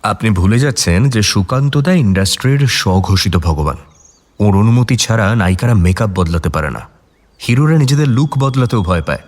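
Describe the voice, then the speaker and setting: Agitated male murder suspect, very animated. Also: fairly low pitch, fairly quick. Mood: indignant. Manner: emphatic, protesting. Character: defensive, agitated male film actor under suspicion. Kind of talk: radio drama acting.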